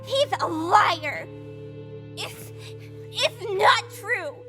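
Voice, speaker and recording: A woman's voice: very high-pitched, lively intonation, very slow, heavily acted. A woman playing a frightened, pleading young girl in a video game cutscene, wailing accusations like a child. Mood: accusing, upset. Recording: music behind the voice.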